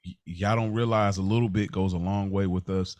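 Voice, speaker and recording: American male podcast co-host, lowish voice, dry close sound, emphatic and informal. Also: steady tone, brisk, darkish tone, dry recording. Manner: emphatic, earnest, informal slangy speech. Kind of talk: casual podcast chat.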